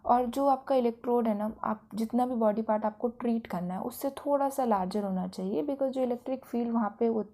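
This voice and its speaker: Female lecturer, Indian accent. Fairly high but very mellow voice, teaching step by step with check-in tags. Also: brisk, few pauses. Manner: didactic, with frequent check-in tags. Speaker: physiotherapy lecturer. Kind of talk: explanatory video lecture.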